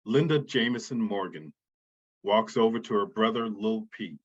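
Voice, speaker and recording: A man with a husky voice reading scripted narration as stage directions, on a slightly muffled recording. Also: lowish voice, expressive, moderate pace.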